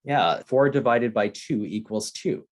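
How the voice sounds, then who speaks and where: A man from Canada teaching beginners in slow, simple English, repeating his phrases. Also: medium pitch, animated.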